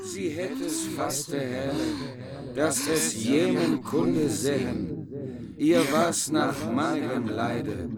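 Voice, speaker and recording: A man reciting medieval verse in a slow, chanted way. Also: on the high side, very animated, vocal fry, over a noisy crowd. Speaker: reciter of Middle High German verse.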